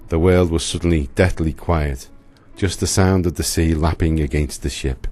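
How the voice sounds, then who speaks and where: A man narrating a radio drama from a script in a solemn, measured manner. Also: very deep voice, fairly even intonation, a little mellow, soft background music.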